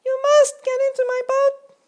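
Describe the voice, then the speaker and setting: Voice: completely flat delivery, moderate pace. A bossy tiny mosquito ferryman. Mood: pompous. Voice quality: squeaky. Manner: stubborn, pompous.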